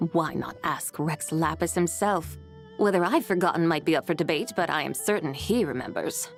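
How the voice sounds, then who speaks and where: A woman's voice: sits low, lively intonation, moderate pace, faint music behind. A woman voicing an ancient, genteel immortal lady in a video game, elegant and teasing, with archaic turns of phrase. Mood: coy. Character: ancient adeptal woman, genteel, playful and enigmatic.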